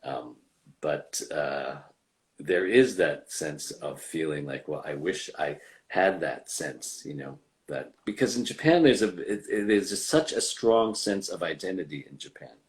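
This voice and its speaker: A man, a voice actor guest, talking casually with frequent pauses, fillers and restarts. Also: extremely low pitch, animated. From United States. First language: English. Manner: hesitant, many fillers and restarts. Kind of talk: casual conversational interview.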